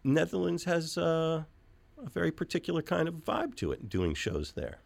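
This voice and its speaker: New radio host guy, kinda gravelly, voice bounces around a lot, dry studio sound. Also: a little high, huge pitch swings, normal speed, dry recording. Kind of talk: casual radio chat. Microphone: studio microphone.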